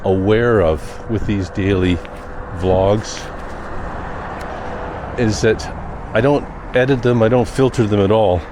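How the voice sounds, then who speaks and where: Low-voiced man vlogging amid traffic noise. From Canada. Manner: reflective, rambling, with thoughtful pauses. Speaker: daily vlogger. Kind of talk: unscripted personal vlog monologue. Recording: noisy outdoor recording with vehicle sounds.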